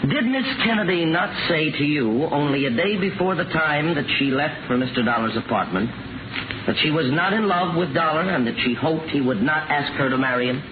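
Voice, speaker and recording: A man's voice: fairly high pitch, expressive, a little fast, close to an everyday voice. A man as a stern, pressing prosecutor, scratchy recording. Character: stern male prosecuting attorney, pointed, relentless. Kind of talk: 1950s radio drama acting. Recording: noisy, narrow-band 1950 radio recording.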